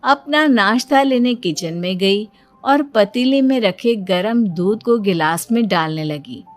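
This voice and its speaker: A woman's voice: mid-range voice, lively intonation, slow pace. A woman narrating a scripted cartoon family story as a storyteller. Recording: background music under the voice.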